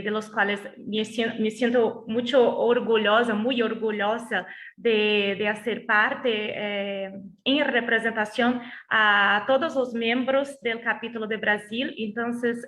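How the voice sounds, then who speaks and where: Brazilian woman speaking halting Spanish. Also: fairly high pitch, a bit bright. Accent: Brazilian Portuguese. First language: Portuguese. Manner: halting, restarts words while searching for Spanish. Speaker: guest speaker, lawyer. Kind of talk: online lecture introduction.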